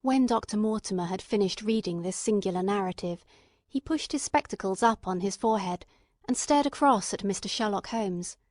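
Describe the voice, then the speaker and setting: A woman's voice: medium pitch, normal speed, darkish tone, no echo. Woman narrating, dry close sound. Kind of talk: scripted literary narration.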